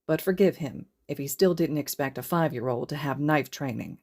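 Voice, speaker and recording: A woman's voice: sits low, normal speed, a little mellow. A woman reading a scripted story aloud as a fiction narrator. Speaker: podfic narrator.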